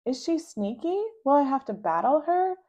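A woman voicing an excitable, pesky little girl who fires off eager questions. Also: very high-pitched, voice pushed up, exaggerated voice. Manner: rapid-fire eager questioning.

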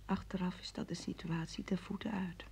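A woman playing a gentle, probing wife in a Dutch radio play, soft and tentative. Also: lowish voice, fairly even intonation, brisk, lightly acted. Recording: noisy old radio play recording.

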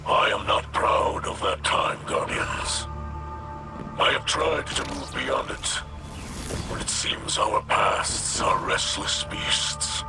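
An actor playing a brooding, haunted alien warrior captain in a video game, solemn and formal with a gravelly, breathy rasp. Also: male voice, lowish voice, lively intonation, over music. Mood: sorrowful. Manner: solemn, formal, halting delivery. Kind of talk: dramatic video game acting.

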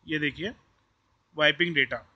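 A man's voice: fairly high pitch, steady tone, a little slow, lo-fi sound. A man with an Indian accent giving an informal hands-on tutorial, explaining step by step and repeating himself. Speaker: tech tutorial host. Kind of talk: informal hands-on tutorial, mixing Hindi and English.

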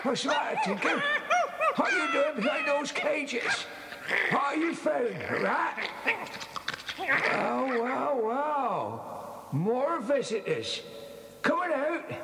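An actor playing a simple, spiteful old kennel keeper with rustic dialect, muttering and cackling in a children's audio drama. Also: male voice, very high-pitched, wildly swooping pitch, slow pace, creaky, long pauses, heavy background noise, heavily acted. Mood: suspicious. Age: older. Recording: echoey cellar reverb on old cassette.

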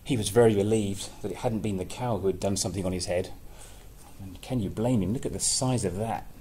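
A man's voice: lowish voice, brisk, warm tone. A man in his thirties reading a picture book expressively, with playful, chatty asides. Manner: playful, chatty asides between read passages. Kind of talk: expressive children's story reading with casual asides. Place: small plain indoor office-like room. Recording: clearly noisy room recording.

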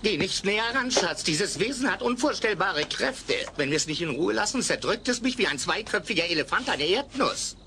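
An eccentric older householder in a German audio drama, wry and nervy. His voice is bright and animated, a little high, with faint noise behind. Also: normal speed, few pauses, full character voice. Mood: wry, impatient. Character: dry, eccentric older householder wanting peace. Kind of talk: German radio play dubbing.

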